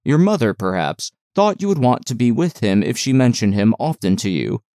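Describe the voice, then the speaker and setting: A kindly, coaxing servant woman in an audiobook, read by a man in a mid-range voice. The delivery is soothing and explanatory, with animated intonation. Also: medium pitch, normal speed, no room sound, studio quality. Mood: reassuring. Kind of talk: audiobook character dialogue.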